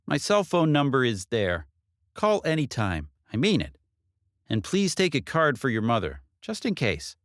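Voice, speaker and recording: Audiobook police detective, female played by a man: raised pitch, lively inflection, measured and concerned. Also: no echo, studio quality. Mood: cautioning. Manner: measured, earnest, official questioning.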